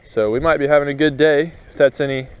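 A man's voice: a little high, quick pace. A young man casually talking to a head-mounted camera while fishing outdoors by a pond. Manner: relaxed, chatty, thinking aloud while casting. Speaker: fishing vlogger. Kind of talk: casual first-person commentary. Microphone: head-mounted action camera. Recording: windy outdoor action-cam audio. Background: wind noise.

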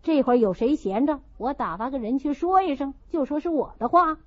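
A grumbling young gentleman of a great house , played by a woman narrator in a storybook. He complains offhandedly with lively swings of pitch. The audio is a little dull. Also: a little fast. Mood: musing, offhand. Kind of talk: audiobook character reading of a classical novel.

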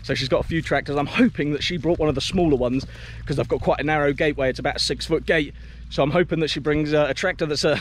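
A man's voice: a little high, quick pace, few pauses, a little hiss or hum. A man vlogging from his farm, talking casually to the camera outdoors, often restarting and repeating words as he goes. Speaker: vlogger and smallholder.

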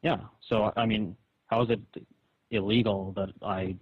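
A man's voice: fairly low pitch, steady tone, measured pace, husky, dry recording. A man on a tinny phone call, arguing his side in a hesitant, conciliatory, reasoning way. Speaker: caller. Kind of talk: phone argument, casual and conciliatory. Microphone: telephone. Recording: narrow, tinny phone line.

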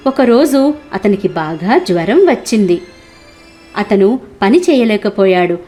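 A woman's voice: medium pitch, big pitch swings, measured pace, mellow, faint music behind. A woman voicing a compassionate, all-knowing holy man who solemnly explains things in a cartoon moral story. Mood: solemn, explaining.

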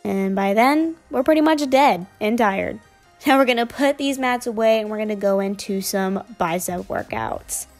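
A woman's voice: mid-range voice, normal speed, soft background music. Chatty young woman narrating a workout. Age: young adult. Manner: casual, chatty, upbeat explaining. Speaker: fitness vlogger.

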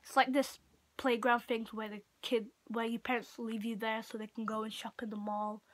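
A teenage girl from Portugal vlogging casually to camera in a room at home, talking in a halting way full of "like". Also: fairly high pitch, level tone. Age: teenager, about 15. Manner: halting, frequent "like" and trailing off. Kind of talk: casual chatty show-and-tell to camera. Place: small room at home, indoors. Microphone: built-in device mic at arm's length or a bit further.

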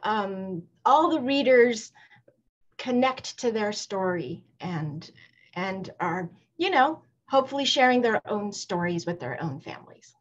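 A woman's voice: mid-range voice, lively intonation, measured pace. A middle-aged woman speaking reflectively and personally as a guest author on a video call. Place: video call from a room at home. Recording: slightly dull video call audio.

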